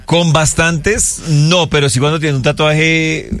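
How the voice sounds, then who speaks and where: Colombian man chatting, slightly high voice. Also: little pitch movement, unhurried, fairly bright tone, dry recording, faint music behind. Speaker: show panelist. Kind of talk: casual chat.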